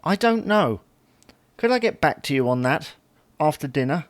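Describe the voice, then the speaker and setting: A man's voice: on the high side, sing-song, normal speed, no echo. Man acting a wry, put-upon husband, swooping intonation. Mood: resigned. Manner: dry, grudging, resigned. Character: mild, baffled, wry henpecked husband. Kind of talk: domestic audio sitcom acting.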